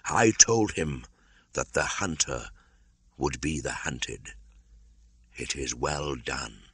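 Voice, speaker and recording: A man voicing a proud, stern yet tender old mother wolf in an audiobook, speaking slowly and gravely. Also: a bass voice, fairly bright tone, dry recording, exaggerated voice. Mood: grim satisfaction. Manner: slow, solemn, grave.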